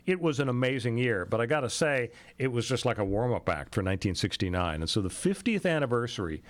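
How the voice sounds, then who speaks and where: A man's voice: medium pitch, sing-song, fast, no echo. A middle-aged American man hosting a radio talk show, commenting on news and history in a conversational way. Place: radio studio. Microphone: close studio microphone.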